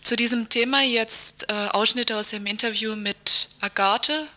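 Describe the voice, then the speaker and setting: Bright, even-toned woman radio presenter. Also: a little high, unhurried. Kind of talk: scripted radio news narration.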